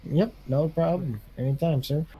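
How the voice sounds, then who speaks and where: Mellow-voiced man in casual game role-play. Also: fairly high pitch, moderate pace, slightly rough, poor audio. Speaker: role-play partner playing equipment dealer.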